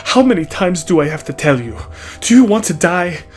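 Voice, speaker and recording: A man's voice: high-pitched, very animated, moderate pace, faint music behind, pitched above the performer's own voice. A man voicing a frantic, scolding mother in an audiobook story, hissing and near hysterical. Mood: frantic, angry.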